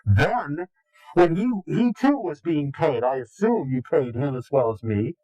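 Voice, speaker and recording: A man answering interview questions combatively, in a formal, deliberate and contemptuous way. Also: fairly high pitch, lively intonation, warm tone, a little hiss or hum, rough recording. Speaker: interviewed anonymous informant.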